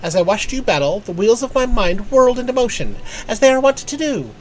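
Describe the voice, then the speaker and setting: A woman's voice: fairly high pitch, sing-song, fairly quick, pitched above the performer's own voice, poor audio. A performer voicing a bookish young woman, a precise and absent-minded arcanist tutor in a fantasy game, speaking formally and wordily. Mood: formal, self-assured. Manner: formal, verbose, analytical. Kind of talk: reading game character dialogue aloud.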